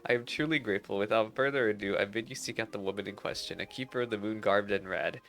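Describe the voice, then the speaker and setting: A man's voice: lowish voice, quick pace, gravelly, a bit bright. A man reading aloud the lines of a courteous fantasy game minstrel in formal, archaic phrasing. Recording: music behind the voice.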